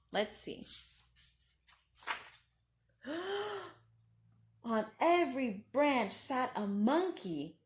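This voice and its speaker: A woman storyteller reading a children's story aloud expressively, with friendly asides addressed directly to young listeners. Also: a little high.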